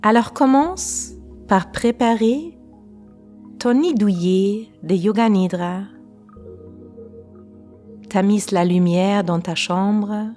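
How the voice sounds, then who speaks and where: A woman guiding a relaxation meditation in a calm, unhurried way, pausing between short phrases. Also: mid-range voice. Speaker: guided meditation teacher. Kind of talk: slow guided relaxation meditation. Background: faint music.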